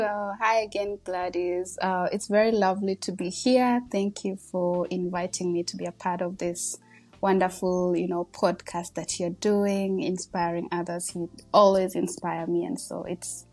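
A woman in her thirties with a Kenyan accent, a podcast guest talking casually and humbly about her life, with many fillers. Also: fairly low pitch. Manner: warm, humble, chatty with frequent fillers and laughs. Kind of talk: casual interview storytelling.

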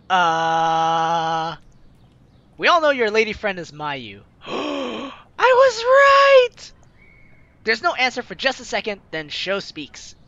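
An animated gaming host with a bright voice and a wide, swooping pitch. They read a visual novel aloud and giggle and exclaim between lines. The sound is slightly dull, with game music behind. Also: takes it slowly, long pauses, no room sound. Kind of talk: let's play commentary, reading visual novel lines aloud.

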